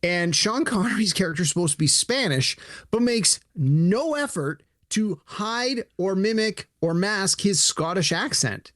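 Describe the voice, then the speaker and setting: A man co-hosting a podcast in casual chat, animated, acting out imagined dialogue as he talks. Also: high voice, unhurried, no room sound, clean studio sound. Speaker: podcast co-host.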